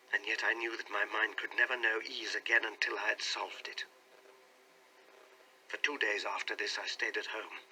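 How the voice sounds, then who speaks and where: A man's voice: mid-range voice, pushed and bright, breathy edge, exaggerated voice, poor audio. A man playing an anguished, suspicious husband who recounts his troubles in an intense, earnest audiobook reading. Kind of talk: dramatic audiobook reading in character.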